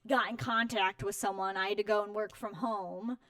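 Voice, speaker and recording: Woman, twenties, effusive high-energy interview guest, informal, quick to laugh, dry recording. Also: fairly even intonation, fairly bright tone, dry recording. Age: 20s. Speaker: interview guest, home warranty company representative. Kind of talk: casual chat.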